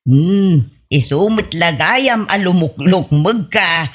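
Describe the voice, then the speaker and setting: A woman's voice: on the low side, animated, slow. A woman playing a matter-of-fact older village woman, persuasive and insistent in a comedy radio drama. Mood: advising. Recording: muffled audio with music underneath.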